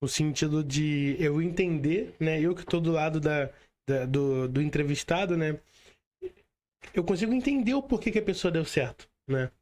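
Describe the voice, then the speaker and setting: A man's voice: on the high side, normal speed, darkish tone. A man with a Brazilian Portuguese accent, a podcast host talking in a chatty, casual way and filling his talk with tag questions. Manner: chatty, filler-heavy, says "cara" and "né" often.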